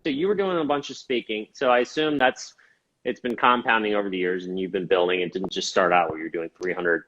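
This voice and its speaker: Casting: deep-voiced male podcast host, curious, meandering questions, muffled lo-fi audio. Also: fairly quick, a bit bright. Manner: curious, asks rambling questions. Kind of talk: casual interview.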